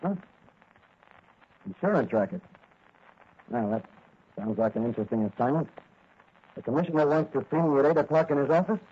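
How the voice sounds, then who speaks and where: An actor in a 1940s radio serial playing a righteous young rookie cop who fights crime in a mask. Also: male voice, medium pitch, a little fast, long pauses, husky, natural voice. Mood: eager, friendly. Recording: dull, lo-fi old radio recording.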